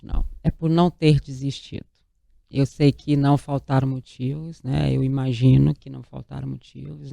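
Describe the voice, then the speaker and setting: A woman's voice: very deep voice, creaky, dark tone, dry recording, studio quality. Emphatic, enthusiastic female talk show host. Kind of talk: interview and show sign-off.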